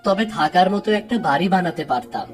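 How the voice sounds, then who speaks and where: A poor, humble cartoon villager, acted by a man, with a slightly high voice. He speaks plaintively and deferentially, as if always asking a favour. Music plays clearly behind him. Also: lively intonation, fast. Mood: pleading. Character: poor, humble young village father pleading for help. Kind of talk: Bengali moral-story cartoon dub.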